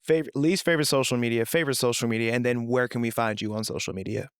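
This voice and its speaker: A man's voice: medium pitch, racing, clean tone, dry recording, clean studio sound. A man hosting an interview, casually agreeing and laughing along with his guest. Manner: affirming, laughs along often. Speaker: podcast host.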